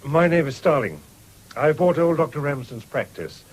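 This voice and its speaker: Lively, courteous English country doctor. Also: male voice, on the high side, normal speed. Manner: polite, smooth-mannered, gentlemanly. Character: courteous, genial country doctor with a shooting interest. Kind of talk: 1970s British radio drama acting. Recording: noisy old radio broadcast recording.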